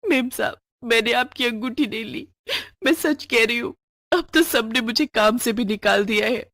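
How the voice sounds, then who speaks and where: A woman's voice: fairly high pitch, normal speed, a bit bright, no echo, clean studio sound. A woman voicing a poor housemaid and mother in a moral storybook tale, humble, hesitant and deferential. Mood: anxious pleading.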